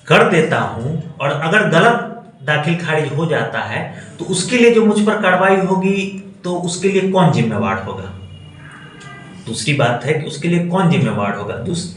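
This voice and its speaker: A man with a Bihari accent, a government officer giving a deliberate, argumentative explanation to a reporter, repeating his points for emphasis. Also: on the high side, a bit bright. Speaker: government land revenue officer. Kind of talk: explanatory defensive statement to a reporter. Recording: very echoey room, distant mic, faint noise.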